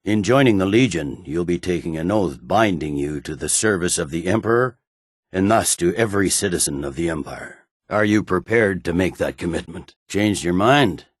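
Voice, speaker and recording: This is a stern male general from a fantasy video game. His voice is deep and recorded very dry. He speaks in a clipped, formal, commanding way, like a weary officer used to being obeyed. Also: animated, moderate pace, no echo. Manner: authoritative, clipped, brusque, formal. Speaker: military commander. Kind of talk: scripted video game dialogue.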